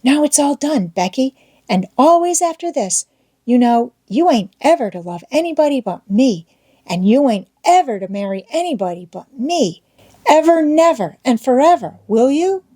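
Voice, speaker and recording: A woman's voice: high-pitched, very animated, moderate pace, dark tone, husky, voice pushed up, full character voice. A woman voicing an eager, boastful young schoolboy in love in an audiobook, with folksy old-fashioned rural American wording and a wheedling manner. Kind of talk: audiobook character voice.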